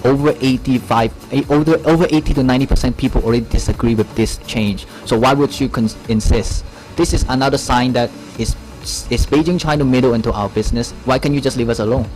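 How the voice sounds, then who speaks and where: Guy from hong kong answering interview questions, mid pitch, kinda halting but emphatic, bit of music in back. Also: darkish tone, rough recording. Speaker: interview guest, protest supporter. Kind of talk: unscripted explanatory interview answers.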